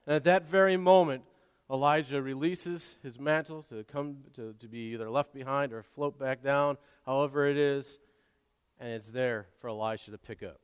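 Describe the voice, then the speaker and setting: A man's voice: mid-range voice, normal speed, smooth. Preaching man in a reverberant hall. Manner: swings from quiet asides to loud, impassioned exhortation; repeats and restarts words often. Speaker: pastor. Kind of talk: sermon.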